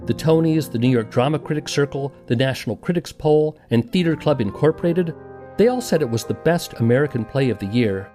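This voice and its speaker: Man, podcast narrator, animated intonation, scripted documentary delivery, clear music behind the voice. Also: mid-range voice, a little fast.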